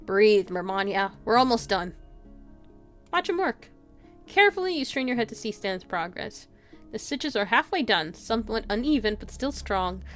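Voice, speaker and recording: A woman's voice: medium pitch, fairly bright tone. A woman livestreamer reading a visual novel aloud with playful, casual commentary. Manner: playful, giggly asides. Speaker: game livestreamer.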